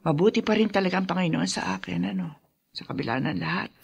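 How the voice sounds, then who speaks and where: A woman's voice: fairly low pitch, lively intonation, fast talker, vocal fry, husky, full character voice. A proud Filipino grandmother. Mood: wistful, stubborn. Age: older. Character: proud, protective elderly grandmother who built a business. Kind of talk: Filipino radio drama acting.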